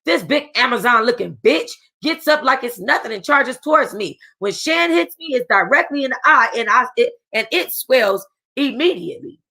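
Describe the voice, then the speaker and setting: A woman reading a first-person novel aloud for a book club, casual and expressive, with a streetwise tone. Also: fairly high pitch, moderate pace, vocal fry, hardly any pauses.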